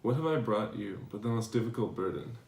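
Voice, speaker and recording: A man playing a devoted philosopher reading his tender love letters aloud in a measured, earnest dramatization. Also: fairly low pitch, expressive, gravelly, darkish tone. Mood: moved, solemn. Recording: echoey room, distant mic, faint noise.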